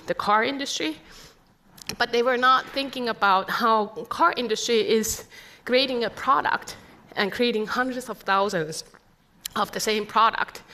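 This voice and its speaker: A woman's voice: fairly high pitch, a bit bright. A middle-aged woman from Finland with a Finnish accent, giving an earnest conference presentation full of rhetorical questions. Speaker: conference speaker.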